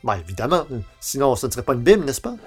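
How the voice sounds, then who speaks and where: A man's voice: mid-range voice, big pitch swings, very fast, soft background music. A man playing an eager, pushy novice bookshop clerk with glib sales patter, in the style of old radio comedy.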